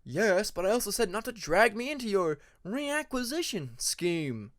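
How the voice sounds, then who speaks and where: A man's voice: high-pitched, sing-song, measured pace, a bit bright, no room sound, studio quality, pitched above the performer's own voice, heavily acted. A man voicing a tired, grumbling young male dragon student in an audiobook story. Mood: exasperated. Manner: grumbling, sighing.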